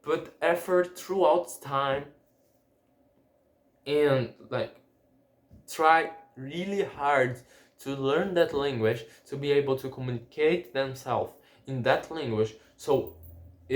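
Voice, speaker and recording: Young Brazilian man, non-native English, slow and halting, roomy echo on the voice. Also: medium pitch. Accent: Brazilian Portuguese. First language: Portuguese. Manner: halting, many restarts and repeated words. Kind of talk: casual personal monologue.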